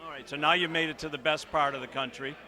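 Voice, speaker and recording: A man's voice: fairly high pitch, fairly even intonation, fast talker, a crowd in the background. A man working as a reporter, interviewing young players in a chatty, upbeat way on a handheld microphone. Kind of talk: on-camera interview coverage.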